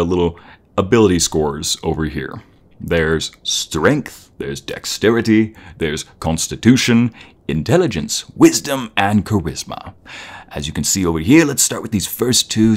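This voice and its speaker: Low-voiced, playful boyfriend, acted by a man. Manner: playful, teasing, chuckling, rambling. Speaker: audio roleplay narrator. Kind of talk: casual, intimate boyfriend roleplay.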